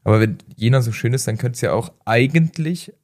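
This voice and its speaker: A man from Saarland, co-hosting a podcast in casual chat; easygoing, laughing often, and pausing on fillers as he talks. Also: mid-range voice, darkish tone. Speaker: podcast co-host, former footballer.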